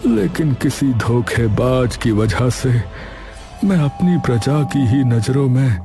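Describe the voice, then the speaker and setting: A man playing a sorrowful, dignified old king who has lost his throne, speaking in a slow, halting, weary way in a cartoon story. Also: mid-range voice, animated, dark tone, soft background music. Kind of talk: Hindi moral-story cartoon dubbing.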